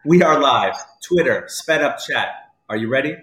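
A man's voice: medium pitch, lively intonation, normal speed, a bit bright. Male online show host in a roomy space. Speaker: live chat show host. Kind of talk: casual live online talk show hosting. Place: indoors at home. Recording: roomy home echo.

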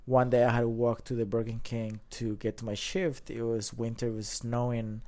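A man in his thirties from Colombia, a podcast guest telling his story in a loose, animated way with many fillers. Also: medium pitch, moderate pace. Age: 30s. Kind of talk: casual storytelling chat.

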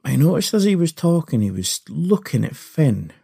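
A man's voice: on the high side, very animated, moderate pace, gravelly, warm tone, slightly rough. A Scottish man hosting a story podcast, narrating in the first person in a folksy, confiding way.

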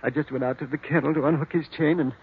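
A shaken household servant in a vintage radio mystery. His raspy, fairly high voice jumps about as he stammers in agitation, still deferential. The recording is muffled. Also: steady tone, very fast. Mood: panicked. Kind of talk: old-time radio mystery acting.

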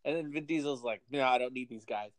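A podcast co-host chatting casually about a movie, incredulous and animated, stammering when excited. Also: mid-range voice, quick pace, no room sound. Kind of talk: casual movie recap chat.

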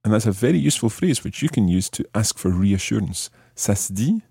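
Man, language podcast host, low pitch, slightly rough voice, deliberate explanations with repeated phrases. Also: expressive, mellow, dry recording, studio quality. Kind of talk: explanatory language lesson narration.

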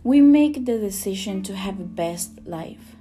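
A woman's voice: medium pitch, lively intonation, unhurried, warm tone. A woman from Rica, earnestly reading a scripted spoken piece. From Costa Rica. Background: guitar music.

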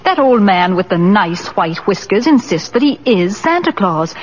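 An actress playing an earnest, practical store executive with a businesslike, fretful manner in a 1940s radio drama. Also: female voice, medium pitch, big pitch swings, moderate pace, lightly acted. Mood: alarmed. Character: brisk, practical female department store executive. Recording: muffled, hissy old radio recording.

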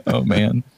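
A man co-hosting a casual podcast chat about movies, speaking in a halting, rambling way and often correcting himself. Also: extremely high pitch, gravelly, fairly bright tone, no room sound. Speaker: podcast co-host.

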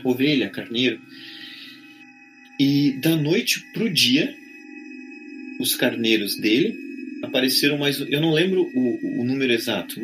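A man's voice: medium pitch, a bit bright, rough recording. A man with a Brazilian Portuguese accent, casually telling anecdotes as a storyteller in a conversation. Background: background music.

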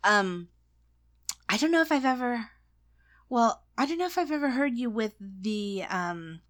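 A woman's voice: fairly high pitch, expressive, measured pace. A woman co-hosting a casual podcast chat, teasing her partner, laughing often and steering the conversation. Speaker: podcast co-host.

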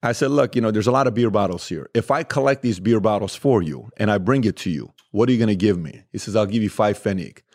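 A man's voice: lowish voice, fast talker, hardly any pauses, a little mellow. A man in his thirties, originally from Iran, with Armenian as his first language, telling casual stories as a podcast guest with laughter and quoted dialogue. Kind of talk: casual storytelling interview.

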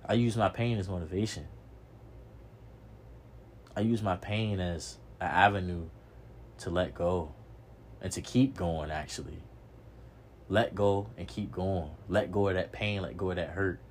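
A man's voice: deep voice, unhurried, pauses often, a little hiss or hum. A young man hosting a podcast, giving a preachy motivational monologue, repeating himself and swearing casually. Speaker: podcast host.

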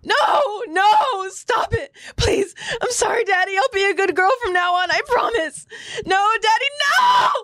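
A man's voice: very high voice, sing-song, measured pace, sharp tone, no room sound, raised voice, voice pushed up, exaggerated voice. A man voicing a terrified young woman who sobs and pleads in shrieks. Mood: terrified pleading. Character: shrill young woman screaming in terror.

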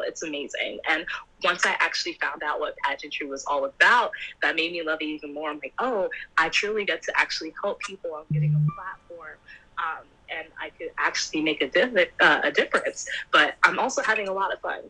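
A woman's voice: deep voice, sharp tone, breathy edge, poor audio. A young woman in her twenties, an upbeat pageant titleholder chatting and laughing easily as an interview guest. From United States. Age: 20s. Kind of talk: casual interview.

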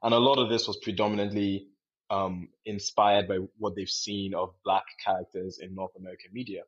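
Husky low-voiced young man, dull recording. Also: level tone, a little fast. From Nigeria. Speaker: podcast co-host. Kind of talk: casual discussion. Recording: dull, lo-fi sound.

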